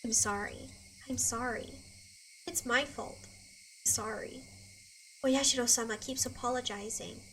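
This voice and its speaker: Unhinged guilt-ridden teen girl, high and piercing, set back from the mic over music. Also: moderate pace, sharp tone, far from the mic, a little hiss or hum, rough recording. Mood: hollow. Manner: obsessive, repeating apologies, swinging from whisper to scream. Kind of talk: dubbed anime drama monologue.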